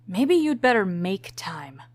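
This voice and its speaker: Woman playing a husky, confident antiheroine. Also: medium pitch, big pitch swings, takes it slowly. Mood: exasperated. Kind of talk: comic dub voice acting.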